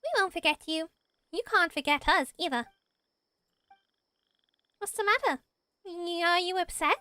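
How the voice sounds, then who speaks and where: A woman voicing a tiny, excitable, childlike fairy girl companion in a video game, exclamatory and eager. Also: extremely high pitch, measured pace, no echo, heavily acted. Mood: concerned. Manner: exclamatory, refers to self by name. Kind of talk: amateur voice acting of video game dialogue.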